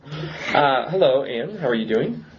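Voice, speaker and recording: Relaxed man interviewer. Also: medium pitch, unhurried. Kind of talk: casual interview. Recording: muffled lo-fi recording with faint hiss.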